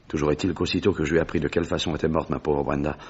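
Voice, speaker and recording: A man playing a grave, proud explorer haunted by lost love in a French radio drama, with a gruff, weighty, formal delivery. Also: rumbling low, fairly even intonation, racing, darkish tone. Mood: bitter. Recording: dull, lo-fi old radio recording.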